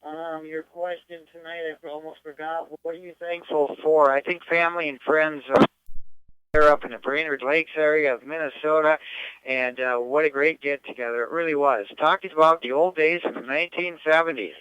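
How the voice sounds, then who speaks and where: A man's voice: fairly high pitch, fairly even intonation, normal speed, pushed and bright, smooth, no echo, highs cut off. An older man casually checking in over amateur radio. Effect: amateur radio transmission. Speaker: ham radio net participant.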